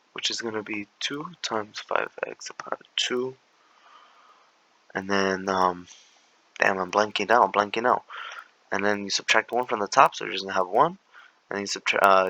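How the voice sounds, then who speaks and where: A young man with a raspy voice, walking through calculus problems in a slangy, laid-back way and sometimes mumbling steps under his breath. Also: lowish voice, pushed and bright, rough recording. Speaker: math tutor. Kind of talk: casual tutoring walkthrough.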